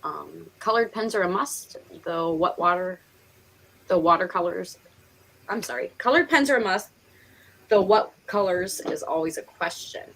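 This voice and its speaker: A woman's voice: lowish voice, expressive, normal speed, vocal fry, fairly bright tone, a little hiss or hum, low-quality recording. A woman with a Northeastern American accent hosting a live stream, chatting casually in a giggly, talkative way. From Pennsylvania and New York, United States. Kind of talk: casual chat.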